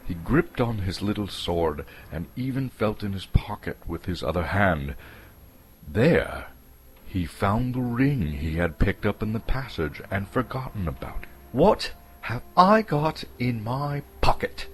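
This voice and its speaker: A man's voice: sits low, animated, measured pace. A man from Canada giving a dramatic storybook narration as the narrator.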